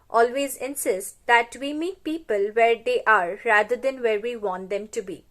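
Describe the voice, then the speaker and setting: Higher-voiced woman from India presenting. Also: fairly bright tone. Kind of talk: scripted educational narration.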